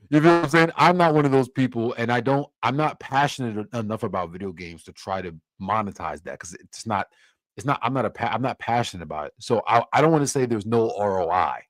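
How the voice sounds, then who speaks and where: A man's voice: medium pitch, animated, a little fast, no room sound. A young man from Cameroon hosting a casual livestream, answering viewer comments in a loose, easygoing way with many restarts. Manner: laid-back, loose, filler-heavy with frequent restarts. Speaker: livestream host.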